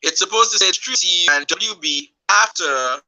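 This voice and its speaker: Bright-voiced man rambling on a livestream. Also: high voice, expressive, moderate pace, poor audio. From Trinidad and Tobago. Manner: rambling, repeats words, talks while fiddling with phone. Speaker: livestream host. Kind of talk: casual livestream chat. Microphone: phone.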